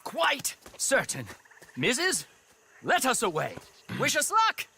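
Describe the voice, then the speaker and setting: Casting: florid, excitable gentleman balloonist for a game, high-pitched, wildly swooping delivery, ever so courteous. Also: slow, breathy edge, full character voice. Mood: jaunty, courteous. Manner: flowery, courteous, exclamatory speech. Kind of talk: video game acting.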